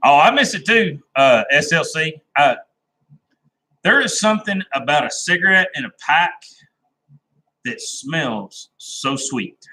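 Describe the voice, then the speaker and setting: Bright, chatty laid-back man. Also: a little high, expressive, a little slow, poor audio. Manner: rambling and laid-back, with many "uh"s and restarts. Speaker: livestream host chatting with viewers. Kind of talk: casual live-stream chat.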